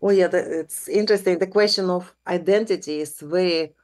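A woman's voice: fairly low pitch, fairly quick, a little mellow. A middle-aged woman from Ukraine, a native Russian speaker with a Ukrainian accent, speaking earnestly and reflectively as an interview guest. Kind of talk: conversational interview.

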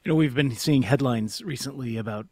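A man hosting a conversational news interview, asking questions in a measured, tentative way. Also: mid-range voice, darkish tone, husky, no echo, clean studio sound. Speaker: radio interviewer.

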